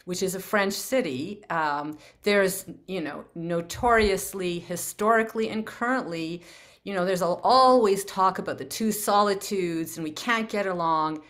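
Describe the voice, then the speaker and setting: A woman's voice: lowish voice, measured pace, fairly bright tone. A woman from Canada hosting a community video call, talking in an effusive, earnest way. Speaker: meeting host. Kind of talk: casual group video call.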